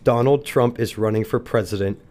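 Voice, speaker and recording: A man's voice: medium pitch, a little fast, darkish tone. A congested young man chatting on a roommates' podcast, laid-back and self-mocking. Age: young adult. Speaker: podcast guest. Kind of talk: casual chat among roommates.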